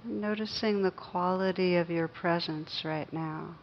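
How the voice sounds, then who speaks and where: A woman teaching guided meditation, speaking gently and unhurriedly with long pauses between phrases. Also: fairly low pitch, fairly even intonation, highs cut off, slight background noise.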